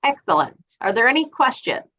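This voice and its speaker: A woman chairing a formal board meeting. She calls votes in brisk, procedural phrases. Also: fairly high pitch, sing-song, pushed and bright. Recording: dull, lo-fi sound.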